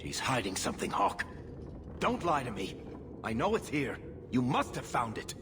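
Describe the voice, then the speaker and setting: A man's voice: mid-range voice, big pitch swings, moderate pace, background noise, natural voice. A man voicing a wry, loyal dwarf rogue and storyteller in a video game cutscene, with a dry, sardonic manner. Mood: demanding, suspicious.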